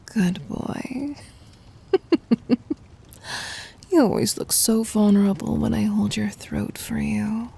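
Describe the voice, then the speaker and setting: A woman playing a gentle, dominant demon queen in an ASMR roleplay, teasing and intimate, in a breathy whisper. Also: medium pitch, full character voice. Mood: playful, possessive. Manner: slow, intimate, commanding.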